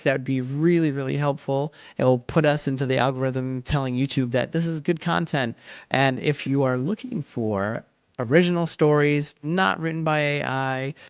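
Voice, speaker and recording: A man hosting and narrating a children's story in an expressive, animated read-aloud style, addressing listeners directly. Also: mid-range voice, clean tone, highs cut off. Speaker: storytelling podcast host and narrator.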